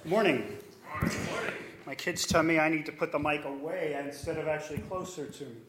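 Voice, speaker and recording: A loud-voiced man chatting through church announcements, his voice sitting a little high. The room rings with echo, and faint noise sits underneath. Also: moderate pace. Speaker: church member making announcements.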